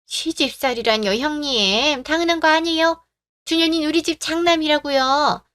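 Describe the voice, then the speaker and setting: A woman's voice: sits high, normal speed, voice pushed up. A woman doing a stubborn, indignant old mother-in-law. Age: older. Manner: indignant, insistent, sharp-tongued. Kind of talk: radio drama acting.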